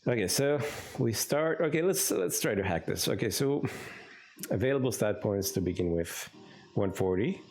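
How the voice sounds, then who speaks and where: A man with a Greek accent talks through a game on a live stream in a very deep, low voice. He rambles and thinks aloud, with lots of ums and trailing thoughts. He speaks into a close desk mic indoors at home. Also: lively intonation, normal speed, darkish tone, a little hiss or hum. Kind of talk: casual live-stream commentary.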